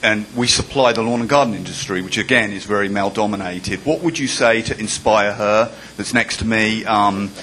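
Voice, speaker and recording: A man's voice: on the low side, normal speed, hardly any pauses, sharp tone, slight background noise, rough recording. A middle-aged man in the audience earnestly asking the panel a question.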